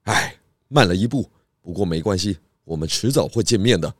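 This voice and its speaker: Man playing a low-voiced, composed pig detective. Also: expressive, moderate pace, dry recording, studio quality. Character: calm, stout male pig detective. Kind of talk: children's audio detective drama.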